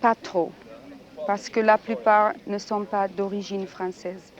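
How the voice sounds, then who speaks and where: Flat-voiced French-born teacher, a woman. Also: mid-range voice, takes it slowly, muffled recording. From France. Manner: brief, plain answers. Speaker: secondary school teacher. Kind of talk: archival radio interview answers.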